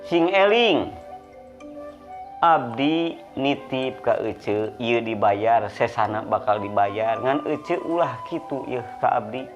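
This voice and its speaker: A poor, humble debtor man acted by a male storyteller speaks slowly with a Sundanese accent. He is patient, pleading and preachy, over clearly audible music in a folk tale. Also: medium pitch, lively intonation, highs cut off. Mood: humble, admonishing.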